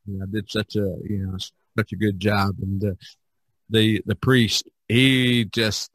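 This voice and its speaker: A man hosting a casual podcast chat, reminiscing in a halting, rambling way and often repeating his words. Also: on the low side, little pitch movement, fairly bright tone, no echo, rough recording. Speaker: podcast host.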